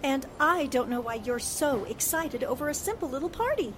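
A woman's voice: high voice, very animated, normal speed, noisy background. A woman playing a poised, good-humored young newspaperwoman in a 1940s-style radio drama, with breezy, teasing banter.

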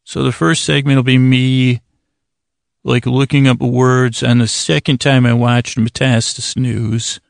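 Gravelly man rambling through a bedtime podcast. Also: mid-range voice, flat delivery, no room sound, clean studio sound. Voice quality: gravelly, creaky. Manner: meandering, halting, self-interrupting. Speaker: podcast host.

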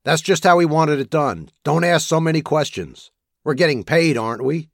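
A man voicing a cautious, bossy criminal lookout in an audio drama, with a gravelly voice. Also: a little high, moderate pace, no echo, clean studio sound, voice pushed up. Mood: curt, impatient.

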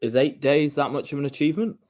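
A man's voice: fairly high pitch, fast talker, darkish tone. A man on a podcast making casual banter in a dry, teasing manner. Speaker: podcast panellist.

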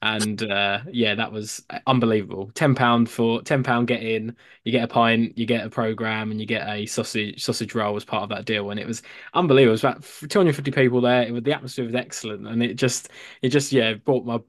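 A man's voice: mid-range voice, fairly even intonation, fairly quick, dry recording, clean studio sound. A young English man hosting a podcast, chatting casually with a lot of hesitation and restarts. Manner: hesitant, many fillers and restarts. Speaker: podcast host. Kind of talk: casual chat.

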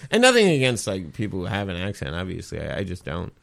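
Lively-voiced man with a faint Newfoundland lilt. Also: low voice, quick pace, gravelly. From Newfoundland, Canada. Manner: deadpan dark joking, bursts of laughter. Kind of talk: casual chat.

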